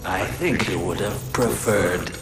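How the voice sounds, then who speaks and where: A man playing a menacing, grandiose digital villain in a video game, slow, ominous and deliberate. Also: fairly low pitch. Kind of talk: dramatic game cutscene acting. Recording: echoey, loud music behind.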